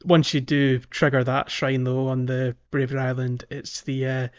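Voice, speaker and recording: A man co-hosting a video game podcast, chatting casually and explaining things in a halting way. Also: mid-range voice, little pitch movement, dull audio. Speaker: podcast co-host.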